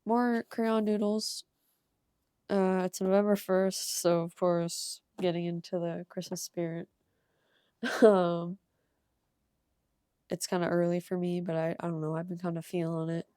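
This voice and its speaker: Young woman, slightly hoarse from a cold, low-ish mellow voice, casual rambling talk. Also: flat delivery, unhurried, pauses often, dry recording, clean studio sound. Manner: casual and rambling, with frequent ums and soft laughs. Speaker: art channel creator. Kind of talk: casual sketchbook tour voice-over.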